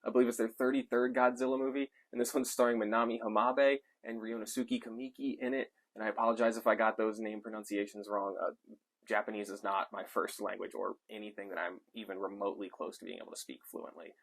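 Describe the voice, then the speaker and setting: Low-voiced young guy gushing about a movie, casual and rambly, lots of uhs. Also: level tone, fast talker, gravelly, poor audio. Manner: enthusiastic, rambling with frequent uh fillers. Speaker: film reviewer. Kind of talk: casual unscripted movie review.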